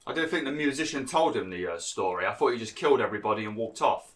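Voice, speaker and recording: A young man doing chatty, rambling gameplay commentary, a little hoarse and nasal from being ill. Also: mid-range voice, animated, fast talker. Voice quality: slightly nasal, froggy throat. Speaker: gaming YouTuber.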